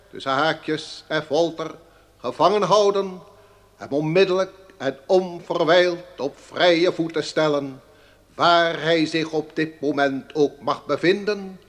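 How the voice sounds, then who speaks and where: An actor playing a pompous state governor in a radio drama, solemnly declaiming an official proclamation. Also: male voice, a little high, lightly acted.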